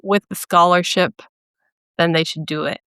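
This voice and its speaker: A young woman from central Minnesota with a Minnesota accent, a painter talking thoughtfully in an interview with many pauses and ums. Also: on the low side, steady tone, a bit bright, clean tone, no room sound. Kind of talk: casual interview conversation.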